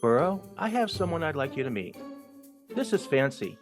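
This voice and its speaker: A man's voice: on the high side, sing-song, moderate pace, music in the background. A man playing a skeptical, no-nonsense mentor mouse in an audio drama. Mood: instructive.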